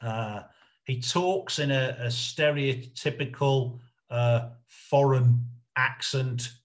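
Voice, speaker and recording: A middle-aged man giving an informal illustrated history lecture over a video call, explaining in a halting, hesitant way. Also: medium pitch. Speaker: lecturer, researcher presenting a talk.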